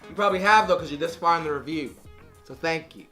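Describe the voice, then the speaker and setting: Raspy sick guy doing a show review vlog on a camera mic, bright voice, keeps restarting, faint music behind. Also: a little high, fast. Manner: animated, rambling, with frequent restarts. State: hoarse, losing his voice from being sick. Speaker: TV review vlogger. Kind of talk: casual opinionated review to camera. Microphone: on-camera shotgun mic.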